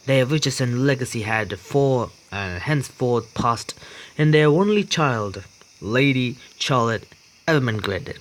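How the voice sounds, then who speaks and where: A man hosting a game playthrough, commenting casually and reading aloud in a halting way with stumbles. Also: medium pitch, lively intonation, rough recording. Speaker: gaming video host.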